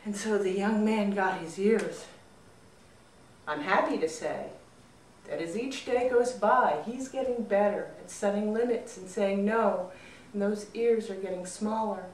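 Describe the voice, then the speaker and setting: A woman storyteller narrating a folk tale expressively, with deliberate, dramatic phrasing. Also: medium pitch, a little mellow, slight background noise. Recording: roomy echo, distant mic.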